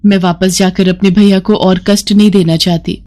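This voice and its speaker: A woman voicing a devoted, gentle young wife in a cartoon story, speaking earnestly. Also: medium pitch, flat delivery, moderate pace, close to an everyday voice.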